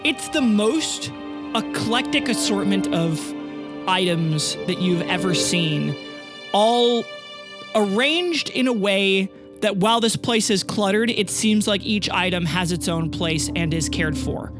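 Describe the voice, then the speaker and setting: A woman's voice: medium pitch, lively intonation, takes it slowly. A woman game master improvising descriptive narration for a tabletop adventure. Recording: prominent music under the voice.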